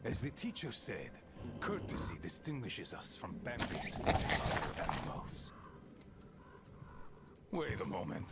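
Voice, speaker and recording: An actor playing a gruff, paranoid older gang leader who mocks and bosses the people around him. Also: male voice, medium pitch, huge pitch swings, measured pace, long pauses, over loud music. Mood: mocking. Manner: mocking, domineering. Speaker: the Admiral.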